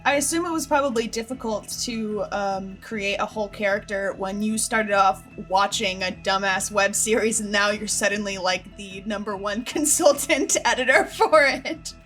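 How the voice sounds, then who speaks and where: A woman in a casual group question-and-answer chat as a podcast creator, joking and swearing freely in an animated way. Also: mid-range voice, normal speed, few pauses, soft background music.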